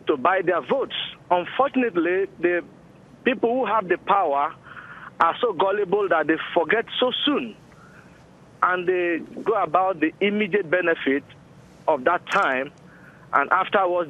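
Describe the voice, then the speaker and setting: Male caller, measured and formal, somewhat high-pitched, pauses often, phone-band sound. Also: slight background noise. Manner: formal, deliberate, pausing. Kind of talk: measured commentary on a talk show call. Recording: narrow-band telephone line.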